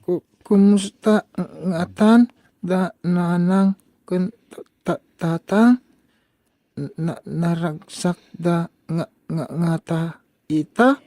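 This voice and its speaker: A woman plays a shy teenage boy in a radio drama. He stutters heavily, catching on first syllables, and speaks slowly and haltingly. The sound is close and dry. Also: lowish voice, dark tone, no echo. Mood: wistful, hesitant. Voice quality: heavy stutter. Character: shy, grateful fifteen-year-old boy with halting speech.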